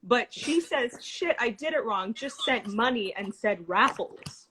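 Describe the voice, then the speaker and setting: A woman with a slightly high voice chats casually as a livestream co-host. She is expressive and talkative, with a self-deprecating way of speaking. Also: measured pace, slight background noise, low-quality recording. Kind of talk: casual chat.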